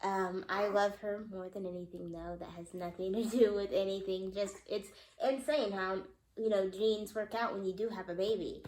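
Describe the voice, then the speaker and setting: A woman's voice: mid-range voice, fairly even intonation, normal speed, a little mellow. Young woman, mom vlogger, congested, slightly nasal, chatty with fillers, phone mic, echoey room. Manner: chatty, lots of ums and likes. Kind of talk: casual mom vlog update.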